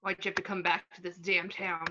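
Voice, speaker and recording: A woman playing a gruff, disapproving coal-miner father in an amateur script read over a video call. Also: fairly low pitch, little pitch movement, a little fast, bright, forceful tone, a little hiss or hum. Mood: bitter, scornful. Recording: slightly dull video-call audio.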